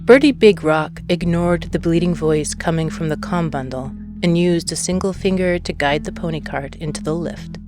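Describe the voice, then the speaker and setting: A woman's voice: lowish voice, moderate pace, few pauses, over music. A woman narrating a short story from a script. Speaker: audiobook narrator.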